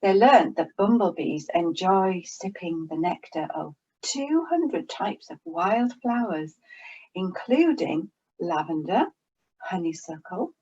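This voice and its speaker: Slow, expressive woman storyteller. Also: on the low side, breathy edge, dull audio. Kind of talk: reading a children's story aloud.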